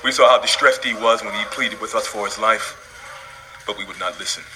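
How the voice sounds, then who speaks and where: A grave, earnest brother in an audio scripture drama. The voice sits fairly low yet is strikingly sharp, with faint ambience behind it. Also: male voice, a little fast, a little hiss or hum, rough recording. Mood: remorseful. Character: remorseful, earnest brother in ancient Canaan.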